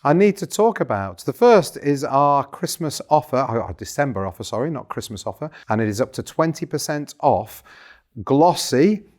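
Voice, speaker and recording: A man with a croaky voice, chatting through a shop's business update in a self-deprecating way. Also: mid-range voice, sing-song, moderate pace, a little mellow. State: croaky voice after a party. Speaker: shop owner hosting a business update show. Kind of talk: casual business update to camera.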